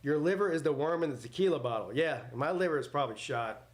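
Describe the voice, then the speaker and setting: A man's voice: fairly high pitch, fast talker. A man in his forties hosting a live sports watch party, mixing casual chat with play-by-play in a blunt, joking, rambling way. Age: 40s.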